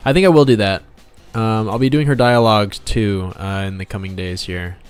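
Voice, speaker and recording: Male livestream host, lower register, playful off-the-cuff banter, background music underneath. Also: normal speed, clean tone. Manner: joking, playful banter with viewers. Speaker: game developer and livestream host. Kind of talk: casual livestream chat while drawing. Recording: music playing behind the voice.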